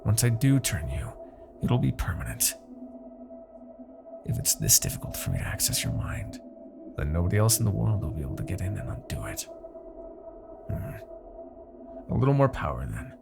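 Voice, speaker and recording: A man playing an arrogant, possessive demon king in a husky, theatrical ASMR fantasy roleplay. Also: fairly low pitch, huge pitch swings, music in the background, heavily acted. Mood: strained, determined. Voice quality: husky, gravelly. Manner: theatrical, deliberate, swinging from murmurs to outbursts. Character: arrogant, possessive male demon king, obsessed with captive hero. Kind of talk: ASMR roleplay, dramatic monologue.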